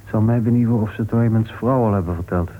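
A man's voice: on the low side, quick pace, natural voice. A man playing a suspicious, probing club member in a Dutch radio play. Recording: old radio recording, narrow tinny band, hiss.

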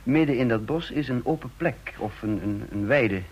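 Muffled actor as methodical intelligence chief. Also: male voice, medium pitch, moderate pace, husky, natural voice. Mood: explaining. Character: brisk, methodical male head of a counterintelligence service. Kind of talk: Dutch radio play acting. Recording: muffled, dull radio play recording.

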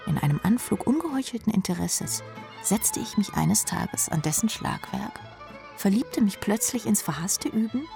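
Radio drama lead: wry, reckless drummer, creaky voice, clipped asides, over prominent music. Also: female voice, a little high, mellow. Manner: sardonic, clipped asides, often dropping to whisper. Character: wry, reckless female rock drummer telling her story. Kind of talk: first-person radio drama narration.

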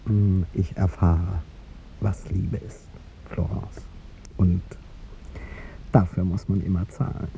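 An older man acting in a 1950s German radio drama as a gentle, aging president in love, speaking haltingly and courteously. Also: low-pitched, little pitch movement, creaky, full character voice. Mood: wistful, pained. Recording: muffled 1950s radio recording, faint noise.

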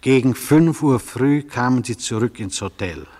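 Stern judge in a long-echoing hall. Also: male voice, medium pitch, expressive, a little slow, mellow. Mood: sceptical, impatient. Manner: curt, sceptical, probing. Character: stern, impatient male judge questioning a suspect. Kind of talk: literary German radio play. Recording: long reverberant courtroom-like hall.

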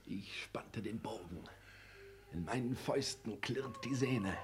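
An actor playing a terse, fervent conspirator in a 1960s German radio drama, hushed and dramatic. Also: male voice, lowish voice, measured pace, a little hiss or hum, natural voice. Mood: conspiratorial.

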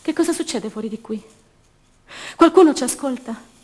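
An actress playing a young, idealistic student activist held prisoner, delivering an intense, passionate theatrical monologue for radio drama. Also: female voice, high voice, normal speed. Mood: pained, pleading. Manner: intense, swinging from hushed repetition to fervent declaiming.

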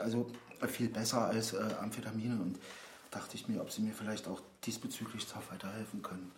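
A man's voice: fairly low pitch, a little mellow, lightly acted. Man playing a flustered politician in a roomy space. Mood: pleading, evasive. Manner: halting, flustered, apologetic. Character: stressed male politician, pleading, evasive, craving drugs. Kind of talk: naturalistic German radio drama acting. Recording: roomy with clear background noise.

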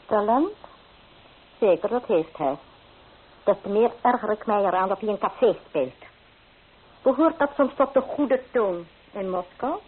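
A woman's voice: mid-range voice, expressive, measured pace, pauses often, breathy edge. A woman playing a stern, haughty old grandmother in a radio play, speaking with blunt, dignified disapproval. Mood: indignant, haughty. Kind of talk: Dutch radio play acting. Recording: tinny old radio recording with hiss.

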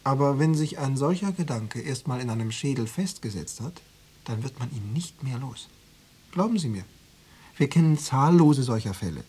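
An actor playing an insinuating Stasi officer in a radio drama, probing and coaxing with cool formality and veiled menace. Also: male voice, medium pitch, darkish tone, husky. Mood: insistent, coaxing. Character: insinuating male Stasi officer pressuring an informant. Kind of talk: German radio drama dialogue.

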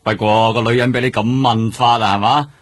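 A man with a husky voice and Hong Kong accent playing a wry, grumbling veteran detective in a radio drama. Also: mid-range voice, steady tone, brisk, natural voice. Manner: sighing, chatty, wry. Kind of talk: Cantonese radio drama acting.

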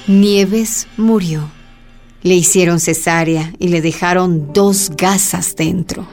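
A woman narrates a scripted radio drama. Her voice sits a little low, with faint music underneath. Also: fairly even intonation, slow.

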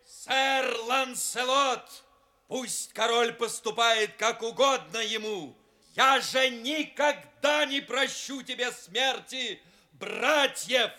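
Grieving vengeful knight, very high tense voice, slow delivery, unforgiving, radio drama declamation. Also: male voice, bright, forceful tone, heavily acted. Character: bitter, vengeful knight grieving his slain brothers. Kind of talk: Soviet-era recorded radio play acting.

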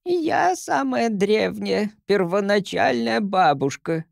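A man voicing an ancient, tiny, merry female creature who is the first grandmother, in a teasing, giggly, drawn-out manner. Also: high-pitched, lively intonation, creaky, no room sound, studio quality, voice pushed up, full character voice. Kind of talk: audiobook character voice.

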